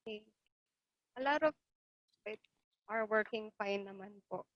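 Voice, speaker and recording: A woman with a Filipino accent giving polite, measured feedback in an online class. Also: mid-range voice, no echo. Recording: dry and slightly dull.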